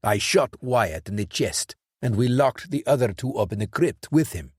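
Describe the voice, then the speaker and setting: A man's voice: medium pitch, expressive, normal speed, slightly rough, dry recording, clean studio sound, voice pushed up. A man voicing a nervous, blustering male hired killer who stumbles defensively through his explanations.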